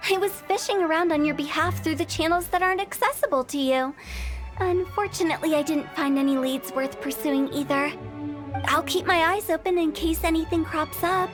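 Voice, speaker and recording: A mischievous young funeral director with a very high, bright voice. She teases, giggles and makes morbid jokes with glee. Faint game music sits behind her. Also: moderate pace. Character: playful, macabre young woman funeral director. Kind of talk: game cutscene voice acting.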